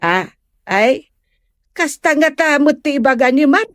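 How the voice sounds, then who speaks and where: A woman's voice: high voice, expressive, no echo, clean studio sound. A woman playing a nosy neighbourhood gossip who teases and needles in a comedy audio drama. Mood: needling. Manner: drawn-out, needling.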